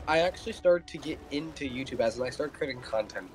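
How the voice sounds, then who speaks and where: A man's voice: medium pitch, lively intonation, quick pace, crowd noise. A young man chatting over a game, rambling and repeating himself as he tells a story. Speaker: fellow player. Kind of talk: casual gaming chat.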